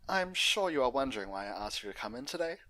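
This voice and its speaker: Polite lady pony doctor for a storybook, played by a man, formal and reassuring, with a bright, crisp edge. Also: medium pitch, sing-song, fast, voice pushed up. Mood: courteous. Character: polite, professional female pony doctor in a clinic.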